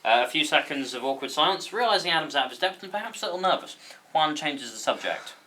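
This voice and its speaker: A man's voice: fairly high pitch, animated, fast talker, bright, forceful tone. A man informally reading out stage directions at a table read, with asides to the actors.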